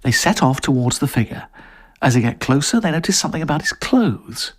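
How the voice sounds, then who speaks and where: A man's voice: a little high, very animated, a little fast, breathy edge. A man reading a short story aloud as scripted narration.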